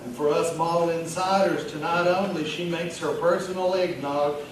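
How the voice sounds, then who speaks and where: Man acting out a boastful small-town shop manager, folksy, jovial, confiding, story monologue, higher male voice, heavy room echo, background noise. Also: fairly even intonation, moderate pace, far from the mic, close to an everyday voice. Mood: chatty, confiding. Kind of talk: dramatic reading of short story monologue. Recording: very echoey room from afar, clear noise.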